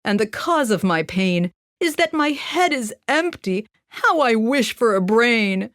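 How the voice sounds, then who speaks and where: A woman's voice: a little high, animated, a little slow, a bit bright, no echo, clean studio sound. Woman as a mournful, wistful straw scarecrow. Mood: sad, wistful. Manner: mournful, wishful. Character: sad scarecrow made of hay, wistful and simple.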